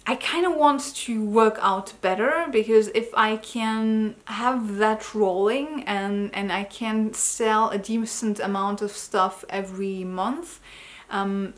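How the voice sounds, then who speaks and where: A woman who grew up in Germany, tired, chatting loosely in a personal vlog update as an artist, often correcting herself and laughing lightly. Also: mid-range voice. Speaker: artist vlogger.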